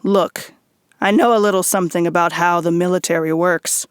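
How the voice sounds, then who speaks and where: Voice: mid-range voice, steady tone, moderate pace, smooth, dry recording, studio quality, lightly acted. An actor playing a wry, streetwise private detective and war veteran in a noir audio drama, with a folksy hardboiled way of talking. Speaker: lead character and narrator. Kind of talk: noir radio drama dialogue and narration. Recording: music under the voice. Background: background music.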